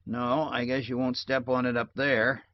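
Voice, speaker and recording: Man reading a shrewd drowsy watchman, dry, facetious, low undertone, dry slightly muffled sound. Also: flat delivery, normal speed, dry recording, natural voice. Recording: dull, dry lo-fi recording.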